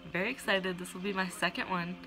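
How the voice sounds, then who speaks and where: Young woman, lowish voice, congested nose, chatty and a bit awkward, phone-recorded vlog. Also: fairly even intonation, quick pace, music in the background, poor audio. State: stuffy nose. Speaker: vlogger and gym co-owner. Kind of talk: casual vlog to camera. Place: indoors, small room. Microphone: phone at arm's length.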